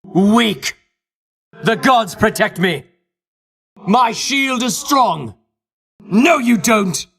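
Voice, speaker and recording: Pompous, high-pitched heroic Greek champion. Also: male voice, animated, very slow, vocal fry, long pauses, a bit bright, full character voice. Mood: defiant, confident. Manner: pompous, grandiloquent, archaic diction. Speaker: video game voice actor. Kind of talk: theatrical video game combat barks.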